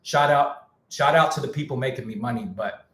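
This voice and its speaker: A man's voice: medium pitch, fairly quick, a bit bright, roomy sound. A man who produces music, talking casually on a live stream, slangy and candid, saying he is losing his voice. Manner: candid, slangy, repeats himself. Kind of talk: casual livestream rant.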